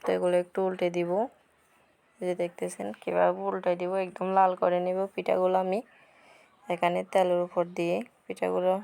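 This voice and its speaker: A woman's voice: fairly low pitch, monotone, brisk, gravelly, warm tone. A woman vlogger talking viewers casually through her cooking step by step, repeating herself as she explains each action, in a noisy home recording. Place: village cooking spot with wind-blown fire.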